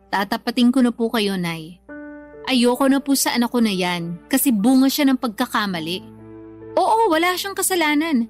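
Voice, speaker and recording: High-voiced, plaintive young Filipina mother. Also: big pitch swings, normal speed, soft background music. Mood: resentful. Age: young adult. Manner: plaintive, defensive. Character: weary, stubborn young Filipina single mother, resentful. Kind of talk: radio drama acting.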